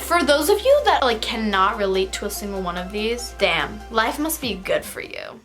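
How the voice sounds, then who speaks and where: A young woman from Turkey chatting casually to camera as a vlogger, animated and quick, with lots of asides. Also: mid-range voice, soft background music. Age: young adult.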